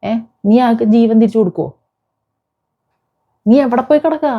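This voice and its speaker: A woman's voice: a little high, moderate pace, muffled recording. A woman delivering an impassioned, confrontational vlog rant, scolding someone with repeated rhetorical questions. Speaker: vlogger. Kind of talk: impassioned rant addressed to an absent person.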